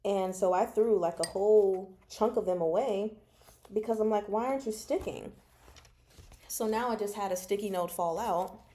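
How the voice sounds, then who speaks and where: A woman hosting a casual book discussion, speaking from notes in an animated, giggly, self-interrupting way. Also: medium pitch, moderate pace. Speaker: host of a book discussion show. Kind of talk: casual fan discussion, reading from notes and comments.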